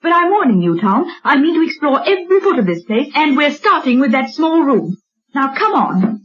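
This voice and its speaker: An actress playing a headstrong, bossy wife whose nerves are under strain, in old radio drama style. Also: female voice, high voice, sing-song, moderate pace. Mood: bossy, impatient. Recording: dull old radio recording.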